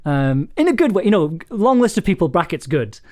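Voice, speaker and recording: A man hosting a casual livestream chat, talking in a halting, self-interrupting way and prone to chuckling mid-sentence. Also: high voice, big pitch swings, darkish tone. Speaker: streaming host and audio drama maker.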